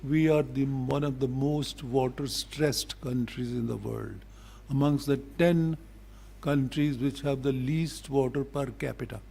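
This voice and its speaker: Creaky-voiced older Pakistani man giving an address. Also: medium pitch, steady tone, dark tone, a little hiss or hum. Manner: deliberate, measured, blunt and admonishing. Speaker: ambassador, public speaker. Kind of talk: formal public address.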